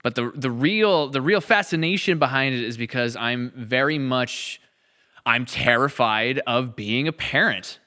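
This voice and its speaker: A man's voice: medium pitch, a little slow, pushed and bright. A man in casual, reflective podcast conversation as a writer and co-host, rambling and emphatic as he thinks aloud.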